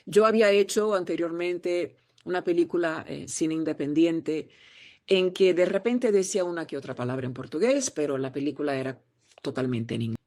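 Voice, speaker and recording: A woman's voice: sits low, mellow, no echo. A woman from Peru, an actress answering interview questions in an earnest, encouraging way, often restarting her words over a remote call.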